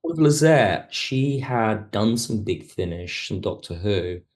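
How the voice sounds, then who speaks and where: A man's voice: lowish voice, lively intonation, takes it slowly, darkish tone. A man talking casually as a podcast guest, a writer and director who is enthusiastic and reflective about his work. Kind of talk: casual interview chat.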